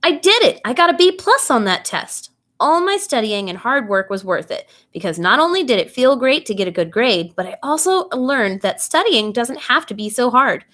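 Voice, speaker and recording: A woman with a fairly high-pitched voice reads a bedtime story for children. Her delivery is expressive and animated, and she speaks directly to her young listeners, asking them questions as she goes. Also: normal speed, few pauses.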